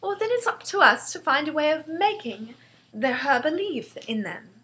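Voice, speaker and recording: A woman voicing an impassioned, determined little orphan girl in a storybook, excitable and insistent. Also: high voice, normal speed, pitched above the performer's own voice, heavily acted. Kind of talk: storybook character voice.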